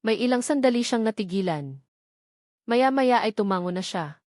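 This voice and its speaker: A woman's voice: fairly high pitch, lively intonation, normal speed, a little mellow, dry recording, clean studio sound. A woman with a Filipino accent reading a scripted first-person story as an audiobook narrator.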